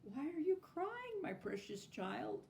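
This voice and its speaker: A kind old grandmother comforting a child, her voice soothing and tender, as a woman reads her part. It is mellow and slow, with a faint hiss in the background. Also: high voice, big pitch swings, gravelly, voice pushed up, full character voice.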